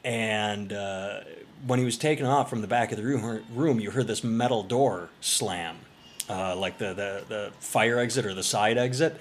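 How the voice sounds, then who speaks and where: Low-voiced man telling a rowdy story. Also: normal speed, slight background noise. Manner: profane, rambling, animated storyteller. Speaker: podcast co-host. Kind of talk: casual storytelling chat.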